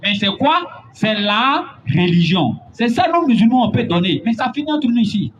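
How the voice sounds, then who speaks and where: A man preaching a sermon, building to emphatic, drawn-out points. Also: extremely high pitch, sing-song, a bit bright. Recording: dull lo-fi recording with faint noise.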